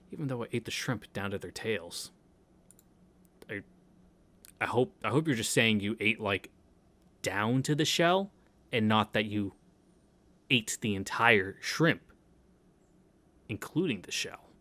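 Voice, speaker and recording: A man's voice: on the low side, pushed and bright. A man hosting a visual novel read-through, reading the narration aloud and adding casual asides. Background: game music.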